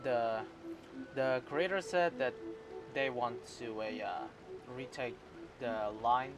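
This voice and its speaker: A man chatting casually and haltingly as he streams a video game, rambling between remarks. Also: medium pitch. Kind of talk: casual livestream chat over a game. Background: game music.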